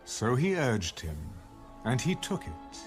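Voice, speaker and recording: A man narrating dramatized scripture with measured storytelling. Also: medium pitch, sing-song. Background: music.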